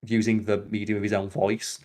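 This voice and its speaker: A man's voice: lowish voice, little pitch movement, fairly quick, darkish tone. Casual, opinionated man podcasting. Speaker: podcast host.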